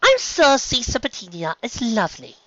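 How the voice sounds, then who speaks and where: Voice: mid-range voice, wildly swooping pitch, normal speed, pushed and bright, dry recording, lo-fi sound, voice pushed up. A narrator voicing a refined young lady unicorn from a storybook, a polite, anxious elder sister who speaks delicately and tactfully. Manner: delicate, tactful, careful phrasing.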